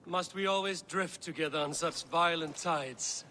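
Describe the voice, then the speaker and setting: A man playing a proud, fierce Viking warrior leader in a video game cutscene, speaking with grave, deliberate delivery. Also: on the high side, bright, forceful tone, a little hiss or hum. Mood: wry, warm. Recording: music behind the voice.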